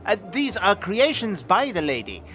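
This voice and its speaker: A man's voice: high voice, huge pitch swings, normal speed, fairly bright tone, exaggerated voice. A man playing a nervous, bookish records clerk in an audio drama, speaking formally and apologetically with a fussy stammer. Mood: scholarly. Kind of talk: acted audio drama dialogue. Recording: music under the voice.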